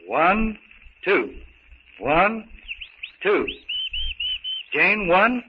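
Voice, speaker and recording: A man playing a young wild ape man who speaks halting, primitive single words in a muffled old radio serial. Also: high voice, very animated, heavily acted. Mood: halting, curious. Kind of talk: 1930s radio serial acting. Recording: muffled hissy 1930s radio recording.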